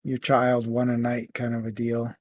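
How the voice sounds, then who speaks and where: A man's voice: mid-range voice, completely flat delivery, normal speed, no room sound. A man co-hosting a casual book discussion, chatting and reading out comments. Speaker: co-host of a book discussion show.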